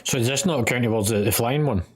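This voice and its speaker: A man's voice: mid-range voice, quick pace, slightly rough. A man talking casually and bluntly with teammates during an online game. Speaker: gaming teammate. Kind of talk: casual gaming chat.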